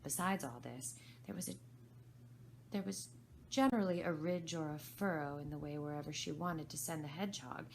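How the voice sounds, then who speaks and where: A woman reads a children's story aloud in a low voice with a creaky edge. She narrates as a volunteer reader, with some noise behind her. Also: normal speed, a little mellow.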